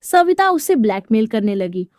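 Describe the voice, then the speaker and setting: A woman's voice: fairly high pitch, very animated, normal speed, darkish tone, no echo. A woman narrating a scripted story in a dramatic storytelling style, recorded as a close voice-over.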